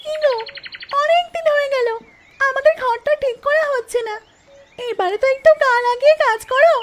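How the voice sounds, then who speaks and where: A performer voicing a gently nagging mother duck wife in a cartoon, in a squeaky small voice. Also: normal speed, heavy background noise. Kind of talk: cartoon dialogue.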